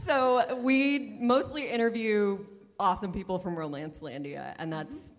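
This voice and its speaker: A woman's voice: medium pitch, normal speed. A woman co-hosting a podcast, talking informally and self-deprecatingly at a live panel. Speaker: podcast co-host. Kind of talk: casual live panel chat. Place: large indoor event room. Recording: echoey hall, live room microphone.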